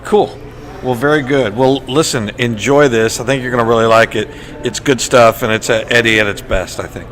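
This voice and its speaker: Male podcast host, medium pitch, faint noise. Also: brisk, a bit bright. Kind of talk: casual podcast chat.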